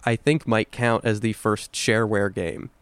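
A man's voice: on the low side, fairly even intonation, normal speed, clear, resonant tone, dry recording. A man co-hosting a podcast, chatting casually about video games and swearing freely. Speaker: podcast co-host.

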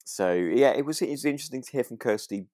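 A man's voice: medium pitch, expressive, fast talker, darkish tone, dry recording, clean studio sound. A man with a British accent, co-hosting a podcast in a casual, conversational way. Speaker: podcast co-host. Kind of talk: casual chat.

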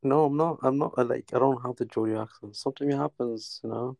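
A man from Newcastle, England, a guest caller chatting casually, talking haltingly with many restarts and fillers. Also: mid-range voice, steady tone, creaky, a little mellow, no room sound. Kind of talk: casual chat.

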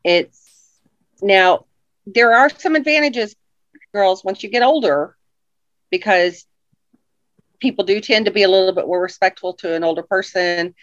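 A woman's voice: medium pitch, a little slow, pauses often, a bit bright, no room sound. A middle-aged woman with a commanding manner, giving advice in a casual interview chat, on a dull lo-fi recording.

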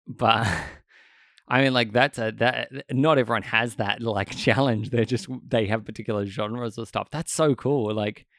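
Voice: mid-range voice, a little slow, fairly bright tone, no echo. An interviewer asking long, rambling questions padded with fillers. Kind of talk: casual interview chat.

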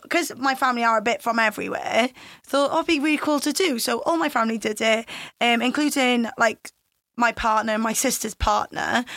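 Woman host, chatty and laughing, slightly high voice, dry studio sound. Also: pushed and bright, no room sound. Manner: chatty, laughs often, quick agreeing fillers. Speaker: podcast host, dance class leader. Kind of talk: casual chat.

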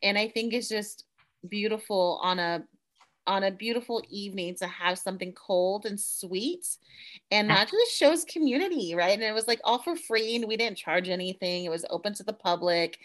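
A woman co-hosting a casual podcast, chatting in an animated way and slipping into Spanish now and then. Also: medium pitch, normal speed. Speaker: podcast co-host. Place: Central Coast, California.

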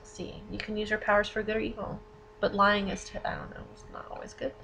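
A woman's voice: mid-range voice, completely flat delivery, fast, vocal fry, noisy background. A woman hosting a podcast in a casual, chatty interview style. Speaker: podcast host.

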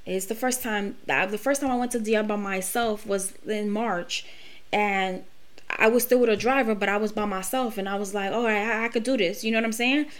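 Podcast host, woman, late 30s, frank and emphatic, swears freely, mid-pitched voice. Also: steady tone, a little fast. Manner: candid, profane, emphatic. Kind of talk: personal monologue.

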